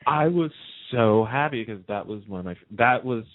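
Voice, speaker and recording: A man's voice: medium pitch, expressive, moderate pace, no echo. A man hosting a talk show, chatting casually in an enthusiastic, opinionated, gossipy way. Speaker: radio talk show host. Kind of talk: casual chat about TV soaps. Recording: narrow tinny phone-line sound.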